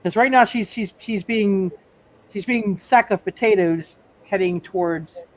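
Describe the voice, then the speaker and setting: A game master casually refereeing a tabletop roleplay session, thinking aloud in repeated, halting phrases. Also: medium pitch.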